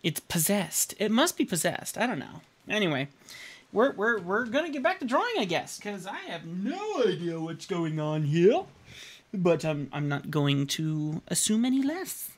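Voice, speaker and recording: A man's voice: high voice, sing-song, moderate pace. A man in his thirties streaming live as he paints, rambling in a self-deprecating way and chuckling often, talking into a headset in a home studio. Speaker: caricature artist streaming. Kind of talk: casual live-stream narration while painting. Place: home studio room indoors.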